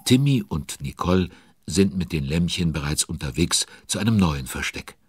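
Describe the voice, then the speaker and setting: A man narrating a children's audio drama as a chatty, involved storyteller with conspiratorial asides and a husky voice. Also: deep voice, expressive, normal speed, slight background noise. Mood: involved, storytelling.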